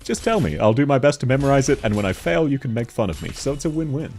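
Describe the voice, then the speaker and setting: A young man with a deep voice streaming a game, chatting casually with viewers, reading their messages aloud and laughing often, a little stuffy from hay fever. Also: big pitch swings, fast, darkish tone. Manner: giggly, reads chat aloud and answers it, frequent laughs. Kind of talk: casual game livestream chat.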